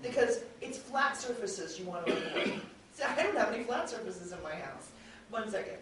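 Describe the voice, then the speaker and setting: A woman's voice: a little high, animated, moderate pace, crowd noise. Woman, convention panelist, informal advice, conversational, very reverberant room, distant mic.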